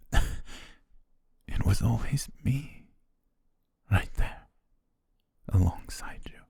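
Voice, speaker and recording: A man voicing a dying young butler, loyal and tender, who speaks in hushed, halting words broken by weak breathy laughs as his strength fades, recorded on a close mic for an ASMR roleplay. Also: fairly low pitch, no echo, full character voice. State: fading, short of strength. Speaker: voice actor.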